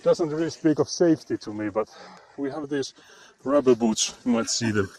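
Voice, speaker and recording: A man's voice: fairly high pitch, big pitch swings, normal speed, vocal fry, a little mellow, a little hiss or hum. A man with a Finnish accent, chatting casually and laughing often while live-streaming on a frozen lake. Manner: chatty, laughs often, reads chat aloud.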